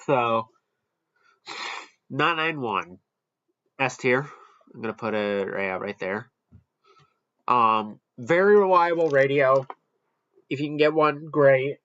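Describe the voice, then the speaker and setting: A man's voice: mid-range voice, expressive, takes it slowly, pauses often, fairly bright tone, dry recording, highs cut off. A man giving casual, off-the-cuff commentary as he hosts a product ranking. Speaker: host ranking weather radios.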